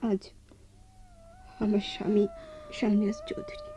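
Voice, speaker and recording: Refined, dramatic lady, highly animated. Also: a little slow, noisy background. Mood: urgent pleading. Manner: emphatic, urgent, polished speech. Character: refined city lady, earnest, insistent, compassionate wife. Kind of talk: melodramatic radio drama acting. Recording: dull lo-fi radio drama recording.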